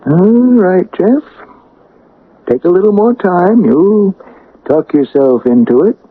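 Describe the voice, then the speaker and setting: Scheming townsman, 1980s radio play, husky, animated pitch, folksy, leading, insinuating. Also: slow pace, heavily acted. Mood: coolly persuasive. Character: insinuating, persuasive townsman, male, coolly scheming. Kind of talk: 1980s radio drama acting. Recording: dull lo-fi radio recording.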